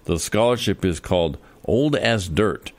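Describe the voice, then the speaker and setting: A cheerful, reassuring father played by a man in a radio comedy. His voice is on the low side and lively in pitch, recorded close and dry. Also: unhurried, no room sound. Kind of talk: comedic radio theater acting.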